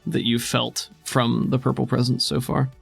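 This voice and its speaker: A man's voice: mid-range voice, level tone, normal speed, gravelly, breathy edge. A man narrating a tabletop game in a conversational way as game master. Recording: faint music under the voice. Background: soft background music.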